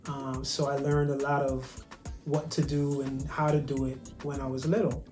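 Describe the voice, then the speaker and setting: Barbadian man, flat-toned guest chef on a video call, roomy room with clear music behind. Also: mid-range voice, moderate pace. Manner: soft-spoken, hesitant, many ums and restarts. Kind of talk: virtual interview, casual storytelling.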